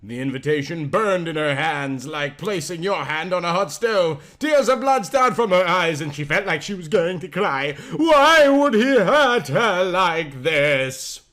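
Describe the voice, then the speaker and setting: A man's voice: sits high, sing-song, unhurried, hardly any pauses, a bit bright. A man narrating a story in a melodramatic, amateur dramatic reading style.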